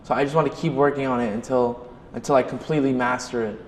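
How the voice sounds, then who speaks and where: A man's voice: medium pitch, fairly even intonation, fast talker, a little hiss or hum. Young man in an echoey room. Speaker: student. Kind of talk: interview answer.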